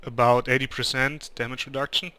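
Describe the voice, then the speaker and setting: Hesitant young German man, bright voice. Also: medium pitch, little pitch movement, fairly quick, clean tone. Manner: hesitant, many ums and restarts. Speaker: gamer. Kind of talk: gameplay commentary.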